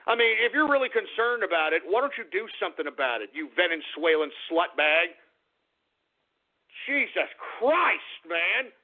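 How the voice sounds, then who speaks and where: A man's voice: sits high, big pitch swings, moderate pace. A man hosting a call-in radio talk show, ranting and insulting callers in an abrasive way. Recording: phone-like narrow band.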